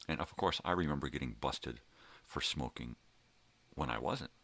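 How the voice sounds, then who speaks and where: A middle-aged man with a gravelly voice, rambling casually as he tells stories with swearing and emphatic bursts. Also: a bass voice, normal speed, a bit bright. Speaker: host of a daily personal vlog.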